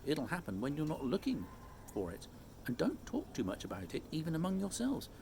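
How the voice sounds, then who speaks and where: Guy doing a kindly odd old professor from a kids book, musing, animated, noisy recording. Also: a little high, fairly quick, gravelly, pitched above the performer's own voice, exaggerated voice. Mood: advising. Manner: musing, knowing, asks himself questions aloud.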